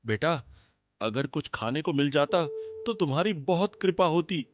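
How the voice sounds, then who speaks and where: A man playing a kindly, frail old wandering holy man in a cartoon moral story, speaking gently. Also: fairly high pitch, lively intonation, moderate pace, lo-fi sound, lightly acted. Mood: weak, pleading.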